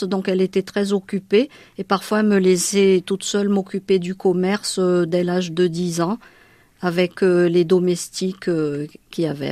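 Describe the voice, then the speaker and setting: A woman's voice: mid-range voice, a little mellow, dry recording. A woman from Madagascar whose first language is Malagasy, telling her life story in an interview, hesitant, with many fillers and restarts.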